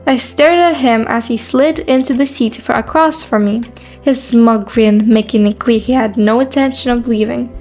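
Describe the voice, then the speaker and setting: A woman playing a guarded, quiet new high school girl with a dry, defensive manner in a teen romance drama. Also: fairly even intonation, moderate pace, faint music behind, natural voice. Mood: flustered, reflective. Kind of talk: first-person narration and dialogue in a teen romance.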